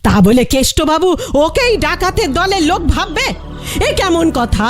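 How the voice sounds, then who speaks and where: Very high, swooping indignant aunt. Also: female voice, sing-song, moderate pace, soft background music. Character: indignant aunt, wife defending a young man. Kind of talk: exaggerated comedy cartoon acting.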